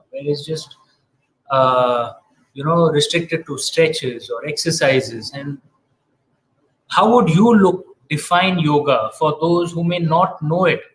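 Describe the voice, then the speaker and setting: A man interviews a guest, his voice pitched a little high for a man. He asks his questions politely but haltingly, circling back with uhs and restarted phrases. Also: fairly bright tone, low-quality recording. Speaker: interviewer, host.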